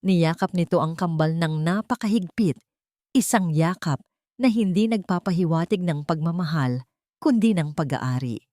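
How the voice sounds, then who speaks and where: Female story narrator, slightly low voice, measured, dramatic, earnest, scripted, close dry sound. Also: lively intonation, dark tone, no room sound.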